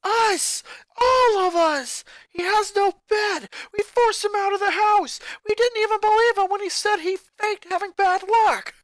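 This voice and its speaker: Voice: very high voice, measured pace, dry recording, voice pushed up, poor audio. A fan reader voicing a fifteen-year-old rocker girl who talks in laid-back slang. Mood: anguished shouting. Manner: laid-back slang, shouting when upset. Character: excitable teenage rocker girl, says dude. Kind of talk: fanfic reading.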